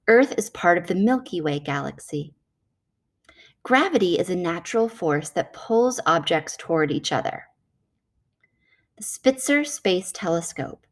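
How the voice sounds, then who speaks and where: A woman reading a nonfiction children's book aloud in a measured, explanatory way. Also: fairly low pitch.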